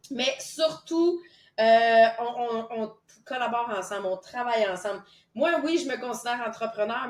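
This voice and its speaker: A woman with a Québécois accent hosting a casual podcast, chatty and enthusiastic, with many fillers and restarts. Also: a little high. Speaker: podcast host. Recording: roomy echo.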